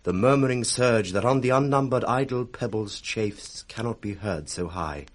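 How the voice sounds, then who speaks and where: A man's voice: on the low side, moderate pace, natural voice. An actor playing a loyal, urgent young nobleman in disguise, speaking vivid theatrical verse with a British accent in 1960s radio Shakespeare.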